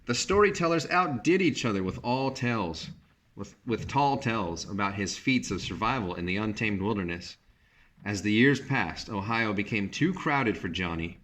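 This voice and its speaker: Male storybook reader, lowish voice, teacherly and measured, narrating with short explanatory asides. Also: lively intonation, a bit bright. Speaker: teacher reading a picture book aloud. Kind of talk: storybook read-aloud with brief asides.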